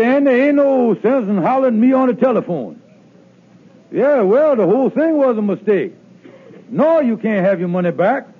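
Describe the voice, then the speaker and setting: An actor playing an evasive, scheming small-time swindler in an old radio comedy, with blustering, folksy, ungrammatical talk. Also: male voice, sits high, breathy edge, exaggerated voice. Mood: evasive, placating. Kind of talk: 1950s radio comedy acting. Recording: tinny narrow-band old radio recording.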